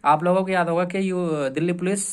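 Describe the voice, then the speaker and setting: A man's voice: high-pitched, steady tone, fast, clear, resonant tone. A young man giving an informal point-by-point lesson as an online exam-prep host, repeating himself and often checking in with listeners. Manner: repetitive, often checking in with "okay" tags.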